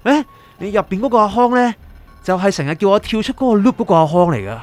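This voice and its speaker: A man plays a troubled, sleepless writer in a Hong Kong Cantonese radio drama. His fairly high voice sounds anxious, pleading and doubtful, with music running underneath. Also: lively intonation, fast, a little mellow. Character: troubled, sleepless male writer seeking his doctor's help.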